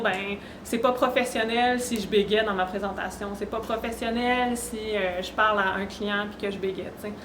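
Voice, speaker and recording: A woman with a Québécois French accent giving an informal, conversational awareness talk to an audience. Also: on the high side. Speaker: panelist, speaker. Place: echoey room at a university event. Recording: roomy echo, faint background noise.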